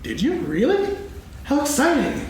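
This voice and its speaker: A woman's voice: high-pitched, wildly swooping pitch, a little slow, darkish tone, heavily acted. A woman playing a kind, eager young boy in a staged reading, exclamatory and earnest. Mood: excited. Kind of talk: staged reading of a children's story play. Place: on stage in a hall. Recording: very echoey hall, distant mic, faint noise.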